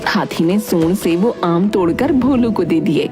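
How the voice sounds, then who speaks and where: A woman's voice: lowish voice, a little slow, over music. A woman giving scripted storybook narration for a cartoon tale.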